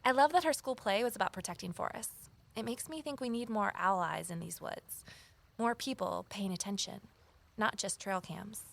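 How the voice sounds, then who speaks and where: An earnest young woman in an audio mystery drama. She has a mid-pitched voice and talks naturally and wryly, with a self-deprecating streak. She sounds like an amateur sleuth who doubts herself but cares a lot. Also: normal speed, gravelly. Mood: thoughtful, friendly. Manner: wry, self-deprecating, conversational. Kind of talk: naturalistic audio drama acting and first-person narration.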